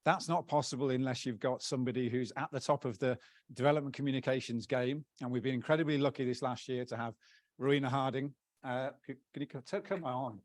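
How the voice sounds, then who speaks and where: A man's voice: mid-range voice, fairly even intonation, dry recording. Guy at a conference mic thanking everyone, chatty and joking, lots of uhs, dry close sound. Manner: upbeat, joking, halting with many fillers. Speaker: outgoing association president. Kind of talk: closing remarks and thanks at a conference. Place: conference hall plenary.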